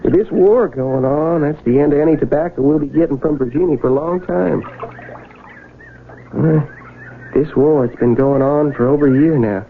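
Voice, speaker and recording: Folksy American farm youth, played by a man, high voice, excitable and rustic; thin old-radio sound with hiss. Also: normal speed, narrow, tinny audio, lightly acted. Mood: earnest. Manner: rustic, folksy, exclamatory talk. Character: excitable folksy country youth, fishing with his friend. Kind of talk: 1970s radio drama acting.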